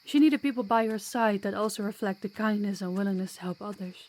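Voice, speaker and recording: A woman's voice: mid-range voice, fast, a little mellow, natural voice. A woman playing a sociable, kind vampire guide in an audio roleplay, chatty and reassuring with a playful streak. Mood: earnest, reassuring.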